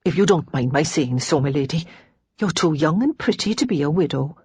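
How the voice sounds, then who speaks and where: A woman's voice: low voice, expressive, brisk, darkish tone, slightly rough, no echo, exaggerated voice. A woman voicing a kindly, gossipy but respectful female cook in an audiobook. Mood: curious, familiar. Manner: familiar, gossipy yet respectful. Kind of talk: audiobook character dialogue.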